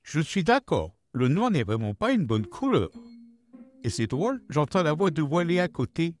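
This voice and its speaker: A man voicing a friendly, loyal puppet dog in a comic dub, effusive and animated. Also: a little high, moderate pace, faint music behind. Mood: puzzled.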